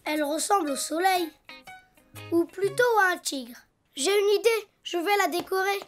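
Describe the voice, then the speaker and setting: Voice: very high voice, moderate pace, faint music behind. A performer voicing a cheerful, imaginative little boy donkey in a children's cartoon, chatty and childlike. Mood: cheerful, busy. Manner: childlike, talking to himself, expressive.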